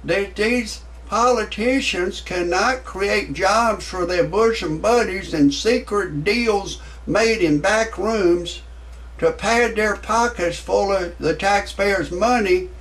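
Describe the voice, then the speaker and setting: A cussing, blustering man in his sixties with a drawl, delivering a comic rant. His voice sits high for a man. He sounds some distance from the mic. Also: fairly bright tone. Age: 60s. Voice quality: profane, blustering. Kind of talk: ranting stand-up monologue.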